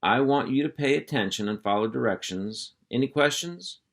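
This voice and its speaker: A man's voice: medium pitch, lively intonation, normal speed, vocal fry, pitched above the performer's own voice. A man playing a boastful cow dog. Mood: lecturing. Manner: folksy drawl, hearty and lecturing. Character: boastful male ranch cow dog, folksy.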